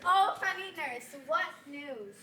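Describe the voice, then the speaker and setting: A woman's voice: high voice, lively intonation, takes it slowly, pushed and bright, heavily acted. A woman acting an impatient, lovesick teenage girl, eager and coaxing, in theatrical Shakespearean style in an echoey room. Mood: coaxing, exasperated. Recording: echoey room with background noise.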